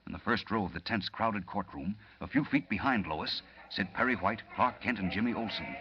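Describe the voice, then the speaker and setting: An actor as a solemn serial narrator, delivering dramatic scripted narration in 1940s radio style. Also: male voice, lowish voice, lively intonation, moderate pace, a little hiss or hum, lightly acted. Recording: dull old radio, music behind.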